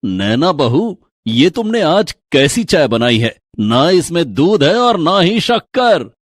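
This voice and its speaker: A man's voice: fairly high pitch, big pitch swings, takes it slowly, dry recording, heavily acted. A man voicing a fussy, sneering older father-in-law in a moral-story cartoon. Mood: disgusted, accusing.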